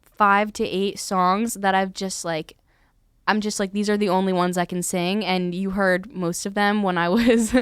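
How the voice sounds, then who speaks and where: A woman's voice: medium pitch, fairly even intonation, unhurried, clean tone, no echo, clean studio sound. A teenage girl from Philadelphia, a singer-songwriter, chatting casually as a guest and saying "like" a lot. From Philadelphia, USA. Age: teens. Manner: chatty, lots of "like", laughs while talking. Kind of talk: casual interview chat.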